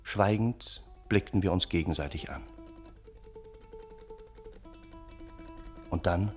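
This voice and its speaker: An actor playing an earnest gentleman friend who recounts an absurd calamity in a formal, theatrical radio-play style with dramatic pauses. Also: low-pitched, faint music behind, lightly acted. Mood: hushed, grave. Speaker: narrator and character in a radio play.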